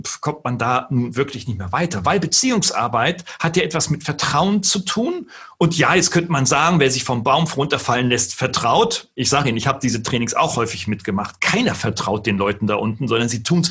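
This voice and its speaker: A middle-aged man from gives an earnest talk on leadership, slowing down to stress key words. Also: medium pitch, fairly bright tone, breathy edge. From northern Germany. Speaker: keynote speaker and lecturer.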